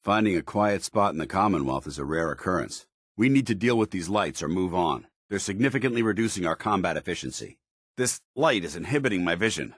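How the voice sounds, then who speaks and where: Video game soldier: stern, disciplined, formal, low-pitched and husky, with varied intonation. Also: male voice, expressive, fairly quick, no echo, clean studio sound. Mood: businesslike. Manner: formal, military, clipped. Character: stern, disciplined soldier in power armor, middle-aged man. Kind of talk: scripted video game dialogue.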